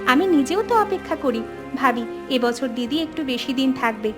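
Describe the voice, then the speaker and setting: A woman acts a young village woman in an animated family story. Her voice is high and outspoken, that of a feisty younger sister always ready to protest, with music playing underneath. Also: normal speed. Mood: earnest. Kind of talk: animated family drama dialogue.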